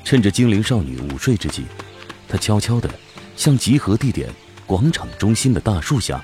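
A man's voice: fairly low pitch, big pitch swings, moderate pace, a little mellow, faint music behind. A man playing a solemn storyteller, giving scripted dramatic narration for a fantasy audio drama. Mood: storytelling.

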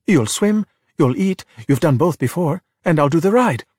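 A man voicing an anxious, excitable young boy who stammers and babbles in a storybook. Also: on the high side, normal speed, gravelly, no echo, studio quality, pitched above the performer's own voice. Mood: anxious babbling. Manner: nervous, stammering. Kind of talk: children's storybook character voice.

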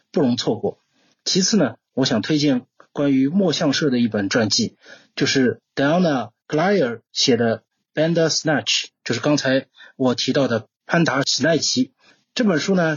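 Male podcast guest, book recommendations; slightly high, husky voice, slightly muffled audio. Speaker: guest translator recommending books. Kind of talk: prepared talk, podcast.

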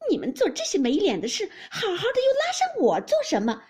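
A woman's voice: very high-pitched, huge pitch swings, fairly quick, a little mellow, pitched above the performer's own voice, full character voice. A woman voicing a wronged young maid in a storybook reading, protesting tearfully. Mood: aggrieved, tearful. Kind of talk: dramatised audiobook of classic novel.

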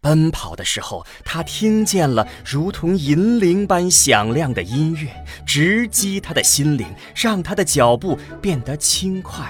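A man's voice: fairly high pitch, sing-song, measured pace, hardly any pauses. A man narrating a science fiction radio drama in a dramatic scripted style. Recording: music behind the narration.

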